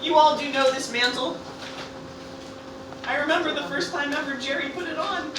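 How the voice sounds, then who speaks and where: A woman's voice: high voice, fairly even intonation, moderate pace, natural voice. A woman playing an impassioned funeral orator in a declamatory amateur Shakespearean radio play. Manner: declamatory, theatrical. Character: impassioned funeral orator stirring a mourning crowd. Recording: very echoey, distant, noisy live room.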